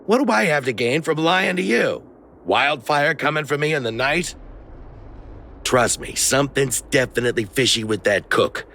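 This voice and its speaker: An actor voicing a slippery, cheeky dealer in a video game, glib and theatrical, quick to wheedle. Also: male voice, mid-range voice, animated, sharp tone, a little hiss or hum. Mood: earnest, persuading. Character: slippery cheeky male dealer, cowardly under threat. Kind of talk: English video game voice acting.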